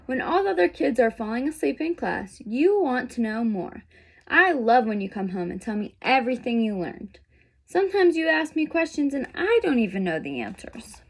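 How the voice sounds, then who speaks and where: Woman as a gentle, higher-voiced mother zebra. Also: very animated, moderate pace. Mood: reassuring. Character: loving, reassuring mother zebra.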